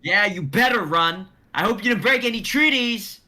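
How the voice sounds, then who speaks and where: A man's voice: high voice, expressive, normal speed, sharp tone, exaggerated voice. A man playing a bitter, entitled young knight, sneering and accusatory, in an amateur fantasy drama reading. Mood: taunting, shouting.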